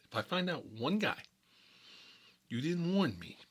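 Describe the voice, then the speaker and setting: A man playing an exasperated mob boss in a comedy monologue, rambling in tough-guy slang and repeating himself, with a husky voice. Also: fairly high pitch, very animated, unhurried, exaggerated voice. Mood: indignant storytelling. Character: exasperated mob boss telling family stories. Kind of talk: rambling comedic storytelling in character, between whispers and outbursts.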